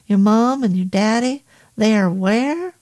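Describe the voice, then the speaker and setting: Woman doing a gentle innkeeper guy in his 40s, stiff formal broken english, slow. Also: fairly high pitch, natural voice. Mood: gentle, patient. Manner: stiff, oddly formal phrasing. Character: fortyish male innkeeper, gentle, halting English.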